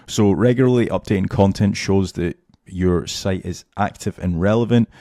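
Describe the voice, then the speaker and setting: A low, mellow-toned man walks through a screen-share tutorial in a loose, chatty way, leaning on "um" and "right?". His voice is a little hoarse from losing it. The sound is dry and close. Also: dry recording. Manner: loose, filler-heavy, keeps checking in with "right?". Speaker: SEO tutor. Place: at home.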